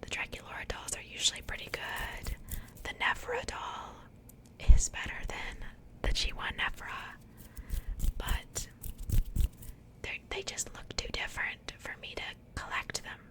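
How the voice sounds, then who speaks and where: Female ASMR host, breathy whisper throughout, casual and chatty unboxing commentary, unhurried delivery. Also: a bit bright.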